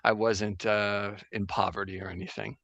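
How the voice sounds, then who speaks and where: A man playing a 17th-century Jesuit astronomer priest in a casual improvised interview over a video call, halting and full of restarts. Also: on the low side, little pitch movement, vocal fry, a bit bright, no echo, highs cut off. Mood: wry. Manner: halting, full of restarts and fillers, joking anachronisms. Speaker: guest in role-play.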